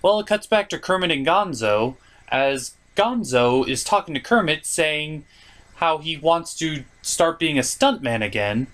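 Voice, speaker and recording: A male comedy review host with a fairly high voice that swings widely in pitch. He delivers sarcastic scripted commentary in a theatrical, mocking way. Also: a bit bright. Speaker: host of a puppet review show.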